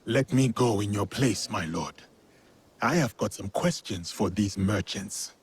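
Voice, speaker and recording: An actor playing a stern, measured warrior of feudal Japan in a video game, formal and terse. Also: male voice, lowish voice, a little hiss or hum, close to an everyday voice. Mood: calm, probing. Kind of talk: video game cutscene acting.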